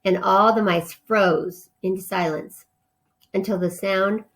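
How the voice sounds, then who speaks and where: Female storyteller, medium pitch, informal read-aloud for children with casual asides. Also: fairly even intonation, slow, fairly bright tone. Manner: informal, explains words simply.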